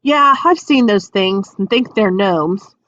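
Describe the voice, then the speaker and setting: A woman's voice: medium pitch, lively intonation, slow, fairly bright tone, no echo, lo-fi sound. A woman voicing a young boy wizard in a storybook, plain and muttering, a loyal friend. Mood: explaining.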